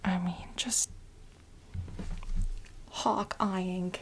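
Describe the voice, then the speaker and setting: A woman in a soft-spoken ASMR role play as a fawning female lab assistant, mostly whispering in a breathy, halting, coaxing way. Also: lowish voice, fairly even intonation, slight background noise. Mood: hesitant, ingratiating. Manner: halting, coaxing delivery with repeated phrases.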